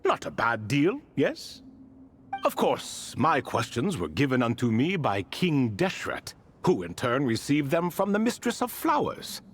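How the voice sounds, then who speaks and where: Slow, theatrical ancient spirit. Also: male voice, mid-range voice, wildly swooping pitch, husky, full character voice. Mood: wry, courtly. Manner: theatrical, refined, leisurely storytelling. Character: ancient cat-borne spirit, proud, wistful, wry male retainer. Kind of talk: video game character dialogue.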